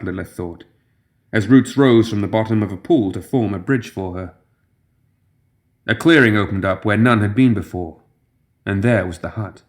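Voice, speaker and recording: Low-voiced man narrating dark fantasy. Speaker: audiobook narrator. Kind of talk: dramatic scripted fantasy narration.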